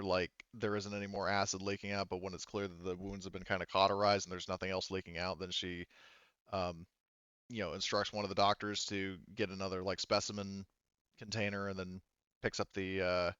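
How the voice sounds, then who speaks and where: Low, smooth-voiced man, slightly dull recording. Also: level tone, a little fast, a bit bright, no room sound. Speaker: player in a tabletop roleplaying game. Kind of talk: casual improvised narration and in-character dialogue.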